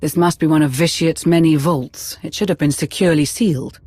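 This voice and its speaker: A knowledgeable Sith adviser in a video game. She is composed and cautious, with formal, measured delivery. The sound is dry and close. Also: low-pitched, fairly even intonation, darkish tone, breathy edge, dry recording. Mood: cautious, solemn. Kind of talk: video game cutscene dialogue.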